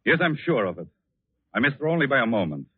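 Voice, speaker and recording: An actor in a 1940s radio drama playing an intense, vengeful artist with a commanding, dramatic delivery. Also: male voice, medium pitch, sing-song, quick pace, breathy edge. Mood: grim resolve. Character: intense, vengeful French artist bent on killing. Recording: tinny old radio recording.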